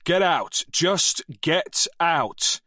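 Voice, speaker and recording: A man's voice: a little high, expressive, very slow, bright, forceful tone, dry recording, voice pushed up, exaggerated voice. Man doing a stern exasperated French teacher. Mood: furious. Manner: stern, raised voice.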